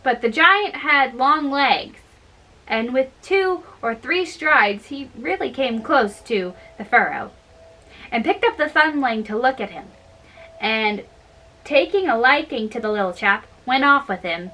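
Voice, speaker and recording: Female storyteller, higher-pitched and very bright, halting read-aloud of fairytales. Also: expressive, low-quality recording.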